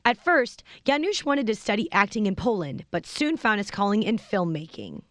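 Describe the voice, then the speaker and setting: A woman reporter reading a scripted student TV feature in a clear, even way. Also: mid-range voice, moderate pace, dry recording, clean studio sound. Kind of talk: scripted news narration.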